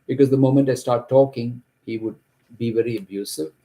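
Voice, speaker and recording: A middle-aged man from Kerala, India, who grew up speaking Malayalam, gives an earnest, halting account of his own story from his home office. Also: mid-range voice, fairly even intonation, warm tone. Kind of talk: personal testimony to camera.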